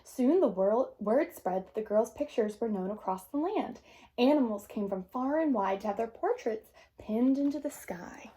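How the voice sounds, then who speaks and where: A woman reading a children's picture book aloud in an expressive, gentle, unhurried way, with casual asides to young listeners. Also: a little high. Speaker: storybook reader.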